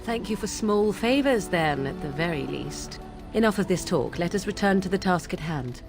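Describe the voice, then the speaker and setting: A woman's voice: lowish voice, animated, normal speed. An actress playing a sly young witch who teases in a wry, archly formal way, with game music behind her. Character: sly young female witch, mocking and aloof.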